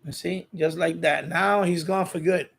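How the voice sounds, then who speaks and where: A man's voice: a little high, steady tone, normal speed, vocal fry, fairly bright tone, husky. A man hosting a casual live stream, talking informally to his chat in a rambling way and often repeating his phrases. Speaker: live stream host of a radio hobby channel.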